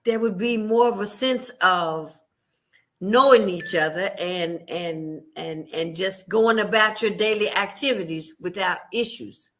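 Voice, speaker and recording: A woman's voice: medium pitch, unhurried, a bit bright. A woman host with a Louisville, Kentucky accent, chatting in an affirming, conversational way during an interview.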